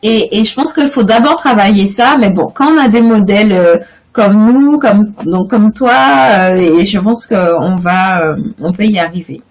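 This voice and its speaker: A woman with a Guadeloupean accent talks as an interview guest. She speaks thoughtfully and hesitantly, often restarting her sentences. Her pitch sits in a middle range. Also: measured pace. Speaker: business and finance coach. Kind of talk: interview guest, casual talk.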